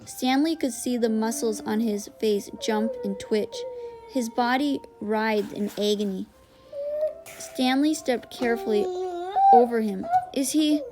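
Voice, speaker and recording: A woman's voice: fairly high pitch, unhurried. A woman narrator reading a children's novel aloud in audiobook style.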